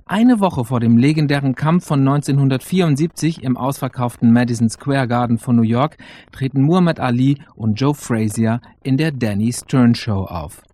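A man's voice: mid-range voice, normal speed, warm tone. A man reading scripted radio narration as the presenter introducing a radio play.

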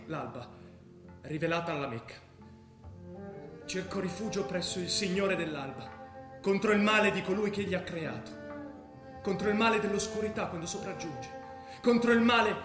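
Man acting a troubled young student, high-pitched, roomy sound. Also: close to an everyday voice. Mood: solemn, incantatory. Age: young adult. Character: Davide, troubled restless young male student. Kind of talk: stage monologue and dialogue in radio theatre. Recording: roomy theatre sound with music behind. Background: music.

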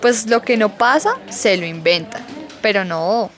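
A woman's voice: mid-range voice, a little slow, lightly acted. A woman playing a casual young best friend with a Colombian accent in a radio soap. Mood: dismissive. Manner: casual, colloquial.

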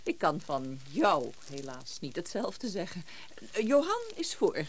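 An actress playing a stern middle-aged wife in a radio drama, sharp-tongued, sarcastic and bossy. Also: female voice, fairly low pitch, wildly swooping pitch, unhurried. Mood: curt, chilly. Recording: radio play with faint background noise.

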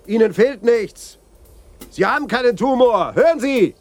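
An actor playing an urgent, blunt, exasperated police detective in a German radio crime drama. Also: male voice, very high-pitched, expressive, a little slow, darkish tone, soft background music. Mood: urgent, pleading.

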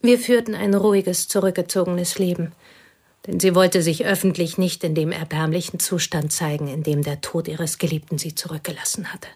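A husky, whispering confidante. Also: female voice, fairly low pitch, darkish tone. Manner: intense, intimate confession. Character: plain devoted woman confessing to a pianist. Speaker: confiding companion. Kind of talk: radio play acting.

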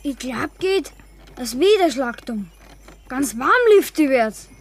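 A woman's voice: high voice, lively intonation, slow, husky, heavily acted. An actress playing a prim, pious young woman, the daughter of the deceased, in a 1960s radio play. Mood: pleading. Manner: pleading, prim.

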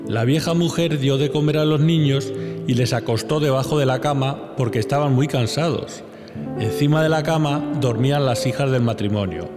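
A man narrating a children's fairy tale from a script. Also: medium pitch. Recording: long reverb, music underneath.

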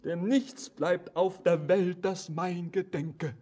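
A doomed, fervent young wanderer in a poetic radio drama. His voice is dark and husky, and he speaks slowly, declaiming each phrase with lyrical solemnity. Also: high voice, steady tone, exaggerated voice. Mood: pleading, fevered. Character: haunted young wandering stranger, fervent and doom-laden. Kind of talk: poetic German radio play acting.

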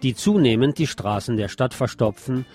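A man reads scripted news reports as a presenter. His voice sits at an average male pitch. Guitar music plays behind him. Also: expressive, moderate pace, darkish tone. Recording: music under the voice.

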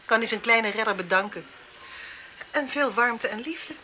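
A woman's voice: a little high, pushed and bright, a little hiss or hum, rough recording. A woman playing a composed police investigator in a radio play, firm and probing yet compassionate. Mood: calm advising. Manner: measured, firm, counselling. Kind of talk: Dutch radio play acting.

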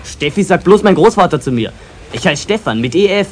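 A male actor playing a haughty, work-shy teenage boy with a husky voice and Bavarian accent, offhand and cheeky in a radio play. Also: high-pitched, expressive, a little fast. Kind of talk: 1970s German children's radio play acting.

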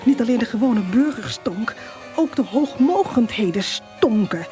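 A woman's voice: on the high side, expressive, unhurried, warm tone, faint music behind. An actress playing a wry female storyteller, narrating a radio drama in a theatrical manner. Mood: vivid, disgusted relish.

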